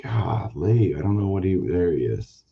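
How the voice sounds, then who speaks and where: A man hosting a casual card-collecting chat show, telling a long story in a rambling, animated way with a hoarse, raspy voice. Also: low-pitched, normal speed, dull audio. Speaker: podcast host, baseball card collector.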